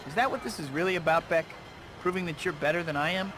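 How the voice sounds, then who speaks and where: An actor playing a cocky, sarcastic young superhero who quips through a video game. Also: male voice, on the high side, quick pace, background noise, natural voice. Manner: sarcastic, quippy. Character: wisecracking young male superhero, cocky and unimpressed.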